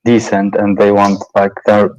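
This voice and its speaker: A man calling into a live debate, answering hesitantly with many fillers and halting phrases. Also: fairly low pitch, little pitch movement, a little mellow, no room sound, muffled recording. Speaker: caller. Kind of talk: call-in debate.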